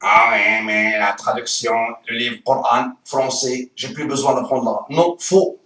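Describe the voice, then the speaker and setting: A man who speaks French as a second language, with an Arabic accent and rolled R's. He pitches an Arabic course in a playful, emphatic way full of rhetorical questions. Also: steady tone, a little slow, pushed and bright, roomy sound, far from the mic. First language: not French. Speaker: Arabic teacher.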